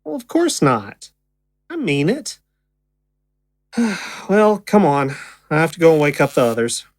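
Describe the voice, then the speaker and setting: A woman's voice: sits low, big pitch swings, unhurried, no room sound, studio quality, heavily acted. A woman playing a young stage magician, affectionate and easily flustered, in a naturalistic audio drama.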